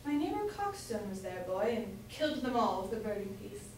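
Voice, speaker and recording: A woman's voice: mid-range voice, very animated, fairly quick, close to an everyday voice. A woman playing a doting, gossipy London mother in an amateur staged reading of an old comedy, speaking archaic English. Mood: doting, boastful. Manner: archaic English, fond addressing of her boy. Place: indoor room, staged reading. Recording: very echoey room, distant mic, faint noise.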